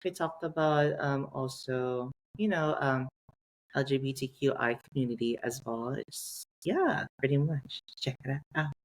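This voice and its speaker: Guy guesting on a podcast, lots of um and like, mid-pitched voice. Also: poor audio. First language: Tagalog. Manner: halting, many fillers and restarts. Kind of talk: casual podcast chat.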